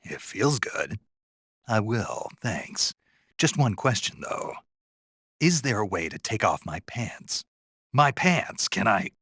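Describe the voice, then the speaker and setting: A gruff American covert soldier from a video game, very low and husky. He is terse and earnest, with a deadpan naivety, yet his pitch moves in lively swings. The sound is dry and close. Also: slow, dry recording, full character voice. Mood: deadpan curiosity. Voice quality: gravelly, husky. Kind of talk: video game voice acting, radio dialogue.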